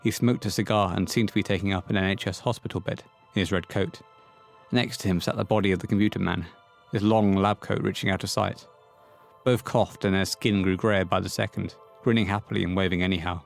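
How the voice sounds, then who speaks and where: A man's voice: low-pitched, fairly even intonation, brisk, gravelly, faint music behind. A man reading scripted horror story narration for an audio play, close on the mic.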